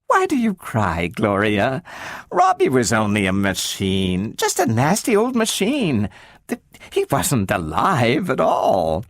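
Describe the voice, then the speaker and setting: A man reading aloud and voicing a gentle, anxious mother who coaxes her child slowly. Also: fairly high pitch, wildly swooping pitch, dry recording, studio quality, voice pushed up. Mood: coaxing. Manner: slow and coaxing.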